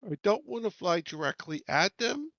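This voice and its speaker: A man with a fairly high voice chats casually as a tabletop player. The recording is dry and a little dull. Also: sing-song, normal speed, a bit bright, no room sound. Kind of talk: casual game table talk.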